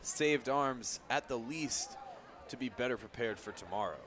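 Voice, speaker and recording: A man's voice: mid-range voice, a little slow, fairly bright tone, some chatter behind. A man giving analytical color commentary on a baseball radio broadcast in a conversational, explanatory way. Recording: clear outdoor background noise.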